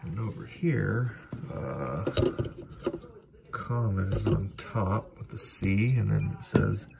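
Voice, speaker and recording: A man's voice: fairly low pitch, takes it slowly, gravelly, heavy background noise. A man working as a heating technician, talking himself through a furnace repair in a laid-back, muttering way, recorded on a phone in a cramped closet. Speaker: HVAC technician. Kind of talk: hands-on repair walkthrough. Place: cramped mobile home furnace closet, indoors.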